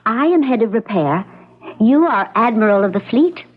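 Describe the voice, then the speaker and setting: A woman's voice: a little high, expressive, normal speed. An actress playing a stern, suspicious female official in a science fiction radio drama. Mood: stern, urgent. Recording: thin phone-like old radio recording.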